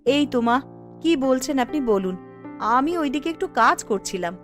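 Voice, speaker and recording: A woman voicing a pleading, nagging young mother in a cartoon, insistent and expressive. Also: high-pitched, moderate pace, soft background music. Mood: dutiful, complaining. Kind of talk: cartoon voice acting.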